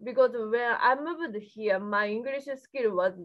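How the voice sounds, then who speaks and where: A woman from Japan with a Japanese accent, speaking halting, simple English as an interview guest in casual talk, giggly in manner, on dull lo-fi audio. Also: on the high side.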